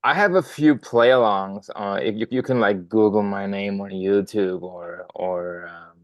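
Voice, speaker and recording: A man's voice: lowish voice, measured pace, no echo. A young Danish man, a drummer, chatting as a podcast guest with lots of ums and restarts. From Denmark. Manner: many fillers and restarts, friendly rambling. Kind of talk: casual interview talk.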